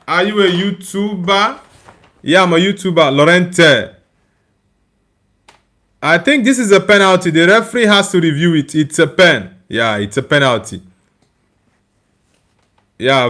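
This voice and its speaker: A young man from Nigeria hosts a live football watchalong. His voice sits a little high for a man. He talks in a loose, chatty way and laughs easily while reading out viewer comments. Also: fairly bright tone. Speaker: watchalong streamer. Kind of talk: casual live match reaction and chat reading.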